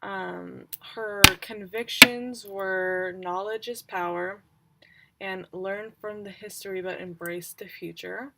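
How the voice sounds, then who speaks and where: A woman game master talking casually and haltingly, thinking aloud as she recaps roleplaying characters on a livestream. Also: medium pitch, steady tone, gravelly, background noise.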